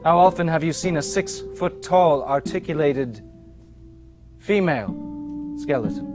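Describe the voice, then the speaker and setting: An actor playing a smooth, manipulative Victorian gentleman swindler and killer, coaxing and glib in a theatrical stage delivery. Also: on the high side, lightly acted. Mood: smug salesman. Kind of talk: stage play acting, period dialogue. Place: theater stage before an audience.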